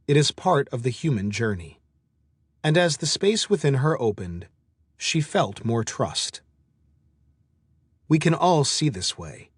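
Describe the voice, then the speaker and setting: Man narrating audiobook, lively intonation, dry sound. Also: mid-range voice, breathy edge, dry recording. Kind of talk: scripted audiobook narration of a meditation and self-help book.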